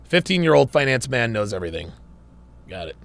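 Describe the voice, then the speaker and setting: A man's voice: medium pitch, very animated, a little fast, creaky, a bit bright. A man hosting a casual livestream, reading viewer comments aloud, laughing often and sounding wry, a little strained as he loses his voice. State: losing his voice. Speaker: livestream host reading super chats.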